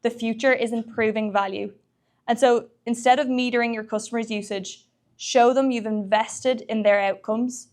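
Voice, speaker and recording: A woman's voice: fairly high pitch, steady tone, moderate pace, darkish tone. A woman speaking as a company executive, giving a presentation at a tech meetup.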